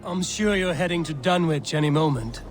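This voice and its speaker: A man's voice: fairly high pitch, normal speed, lightly acted. An actor playing a blunt, menacing male Viking warrior in a video game, speaking with command, over music. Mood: stern, urging. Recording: music behind the voice.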